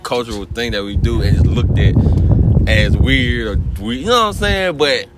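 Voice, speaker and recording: A man's voice: mid-range voice, expressive, moderate pace, very noisy. A young man hosting a podcast, laid-back and slangy, often asking "you know what I'm saying". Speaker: podcast host. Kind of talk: casual chat.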